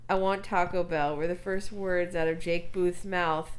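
A woman's voice: lowish voice, fairly even intonation, measured pace, clear, resonant tone. A woman co-hosting a casual podcast, reading odd news stories and adding wry comments. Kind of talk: casual chat.

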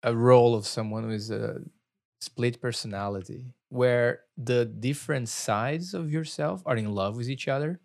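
A man with a Brazilian accent hosting a casual podcast interview, asking curious, halting questions. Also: mid-range voice, vocal fry, a little mellow. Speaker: podcast host.

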